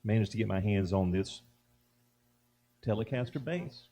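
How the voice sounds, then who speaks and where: A man speaking as a musician guest, explaining things casually with hesitant pauses. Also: lowish voice, animated, warm tone. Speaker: musician guest, bass player. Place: small stage at a live music show. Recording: faint hum and background noise.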